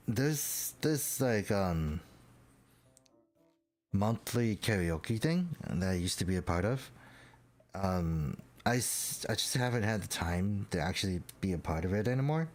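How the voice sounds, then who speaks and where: A young man with a stuffy nose, chatting casually and rambling with lots of fillers while building a model kit. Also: deep voice. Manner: rambling, self-deprecating, full of fillers.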